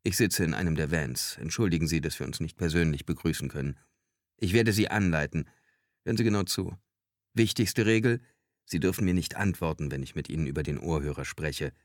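A brisk, commanding male advisor played by an audiobook reader gives instructions in a low, rough voice. The delivery is authoritative, in a dry recording. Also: no room sound. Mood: brisk, instructing. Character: brisk, commanding hostage advisor in an earpiece, man. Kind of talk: audiobook character acting.

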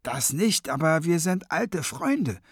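A man's voice: fairly high pitch, very animated, slow, breathy edge, no room sound, studio quality, pitched above the performer's own voice, full character voice. A man voicing a dim, good-natured zombie servant who is earnest, naive and eager to please.

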